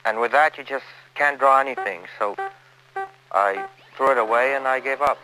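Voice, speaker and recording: A man recounting a personal experience in an interview, in a hesitant, halting way. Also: mid-range voice, over music, poor audio. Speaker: artist interviewee.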